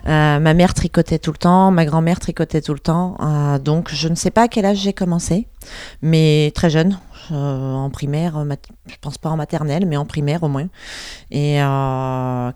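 A woman in her forties chatting casually about her knitting, relaxed and laughing often. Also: low voice. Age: 40s. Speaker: knitter sharing her experience. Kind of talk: casual chat.

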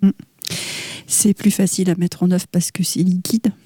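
A woman with a low, mellow voice explaining food science as a radio guest. She hesitates often, fills her pauses with soft fillers and drops into near-whispers. The studio sound is dry and close. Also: little pitch movement, breathy edge, dry recording. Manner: hesitant, many "euh", soft half-whispered asides.

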